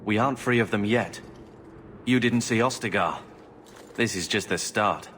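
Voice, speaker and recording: An actor playing an impatient, cynical young soldier brother in a fantasy video game, blunt and sardonic. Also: male voice, fairly low pitch, moderate pace, fairly bright tone, slight background noise, natural voice. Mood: grim.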